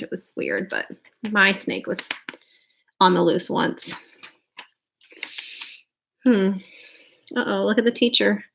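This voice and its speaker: A woman's voice: mid-range voice, vocal fry, fairly bright tone. A woman reading a picture book aloud expressively, with chatty, casual asides to her listeners. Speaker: read-aloud storyteller.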